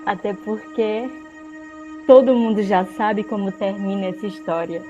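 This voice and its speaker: A gentle, forgiving queen of the afterlife, deeply in love as a woman acts her. Her voice is mellow with a Brazilian accent, in a radio drama with light music underneath. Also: unhurried, highs cut off, natural voice. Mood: tender, wistful.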